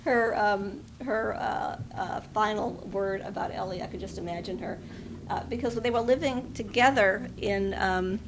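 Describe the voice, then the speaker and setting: A woman's voice: medium pitch, moderate pace, a little hiss or hum. A woman speaking at a memorial, reading a tribute aloud and adding informal personal asides.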